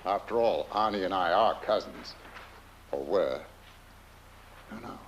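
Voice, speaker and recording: An actor playing a polite, evasive family lawyer with a courteous, formal, drily genteel manner. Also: male voice, low-pitched, lively intonation, unhurried, muffled recording, slight background noise. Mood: politely evasive. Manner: courteous, formal, slightly hesitant. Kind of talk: film drama dialogue.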